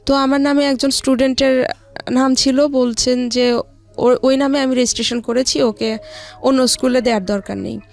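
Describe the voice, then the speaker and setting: A woman recounting her own life story as an interview guest, with halting, hesitant delivery. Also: high-pitched, steady tone, creaky, no echo. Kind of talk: personal life-story narration.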